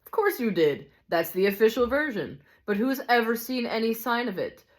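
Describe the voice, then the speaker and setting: A man's voice: very high voice, sing-song, normal speed, pitched above the performer's own voice. A woman reading the part of a cynical, hard-bitten male ghost in a storybook, grumbling scornfully and insistently. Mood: bitter, scornful. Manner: scornful, insistent complaining with rhetorical questions.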